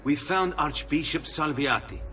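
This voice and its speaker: An actor as higher-pitched male mercenary, music behind. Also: unhurried, natural voice. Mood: businesslike. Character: brisk male mercenary officer giving directions. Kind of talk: video game cutscene acting.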